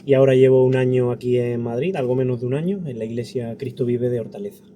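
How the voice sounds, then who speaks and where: A man with a peninsular Spanish accent and a very mellow, dark voice of medium pitch. He answers interview questions earnestly and reflectively, in a conversational way. A faint ambient noise sits behind him. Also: fairly even intonation, fast talker. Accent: Spanish from Spain. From Andalusia, Spain. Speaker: interview guest, pastor.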